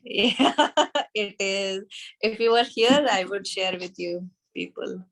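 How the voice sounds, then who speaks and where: A woman in her thirties with an Indian accent, teaching an informal online class in a halting way, checking in as she goes. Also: mid-range voice, a bit bright. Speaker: anatomy teacher at a yoga school. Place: small room with a whiteboard, indoors. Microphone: device camera a short distance away, video call.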